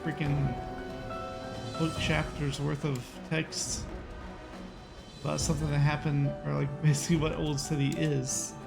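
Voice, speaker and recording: Slow, creaky-voiced man grumbling over game music. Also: fairly high pitch, little pitch movement. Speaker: video game streamer. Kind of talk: casual live gameplay commentary.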